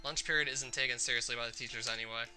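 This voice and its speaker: A man's voice: mid-range voice, steady tone, rapid-fire, pushed and bright, soft background music. A man hosting a gaming video, commenting on a visual novel and reading its text aloud.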